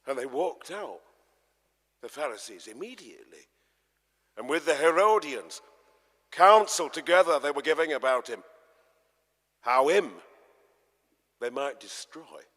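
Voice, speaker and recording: Husky, animated man narrating scripture. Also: on the high side, measured pace, pauses often. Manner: theatrical storytelling with emphatic swells and hushes. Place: large church, live performance. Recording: echoey church, long reverb.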